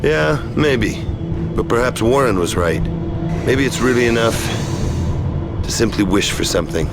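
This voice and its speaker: An actor playing a defiant, sardonic adventurer in a video game cutscene, with a wry, mocking way of talking. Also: male voice, medium pitch, expressive, measured pace. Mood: wry, pondering. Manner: sarcastic, wry. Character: defiant, sardonic male adventurer, quick with mockery. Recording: clear music behind the voice.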